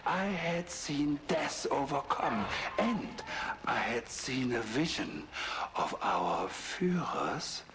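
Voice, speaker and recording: An actor with a German accent playing an obsessed, sadistic middle-aged Nazi scientist in a video game cutscene, theatrical, grandiose and menacing. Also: male voice, medium pitch, expressive, takes it slowly. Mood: fascinated, sinister.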